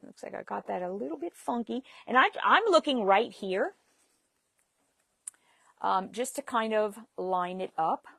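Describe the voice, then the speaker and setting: A woman's voice: mid-range voice, measured pace, gravelly, fairly bright tone, no room sound. Chatty woman teaching a sewing project. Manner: chatty, laughs easily, talks while working. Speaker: sewing instructor. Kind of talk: live-stream sewing demonstration.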